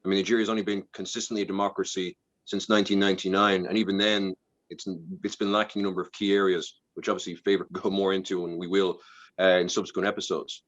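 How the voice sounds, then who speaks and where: A man speaking as a panel guest, measured and analytical with hedging phrases. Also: sits low, flat delivery, fairly bright tone, lo-fi sound. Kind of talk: discussion.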